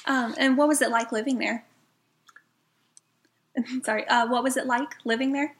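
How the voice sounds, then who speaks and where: A woman's voice: a little high, steady tone, normal speed. A young woman student interviewing someone for a class, asking hesitant questions with many um and like fillers. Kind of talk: oral history interview.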